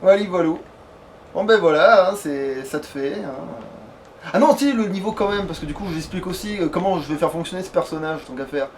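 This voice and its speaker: High-pitched male let's player, rambling, playful, a bit foul-mouthed, some noise on the track. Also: fairly quick. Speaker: let's player, playing and hosting the video. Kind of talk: casual let's play commentary.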